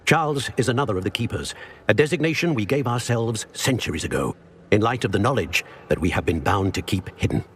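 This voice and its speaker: An actor playing an old, solemn wizard professor in a video game, with a husky voice and a measured, formal, grave manner. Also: male voice, on the low side, lively intonation. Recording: long reverberant hall echo.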